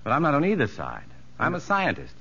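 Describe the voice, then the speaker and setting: An actor playing an earnest, educated prison psychologist, somewhat uneasy, in a 1950s radio drama. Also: male voice, mid-range voice, wildly swooping pitch, fairly quick, gravelly, fairly bright tone, slightly rough, full character voice. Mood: earnest, tense. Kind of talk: 1950s radio drama acting with first-person narration. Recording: muffled 1950s radio recording.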